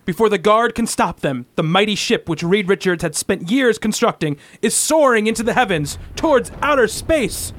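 A man's voice: sits high, animated, normal speed, few pauses, a bit bright. A man narrating a comic-book radio play in a grandiose, theatrical style.

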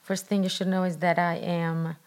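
A woman from Brazil with a Brazilian accent, leading a mentoring program and talking earnestly on a podcast, a little halting. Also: fairly low pitch, little pitch movement, a crowd in the background. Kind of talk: podcast conversation.

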